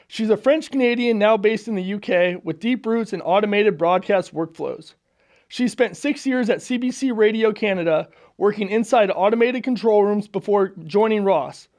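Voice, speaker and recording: A man's voice: sits high, normal speed, darkish tone. A man hosting a podcast, upbeat and friendly in casual interview chat, with frequent fillers. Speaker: podcast host. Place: studio.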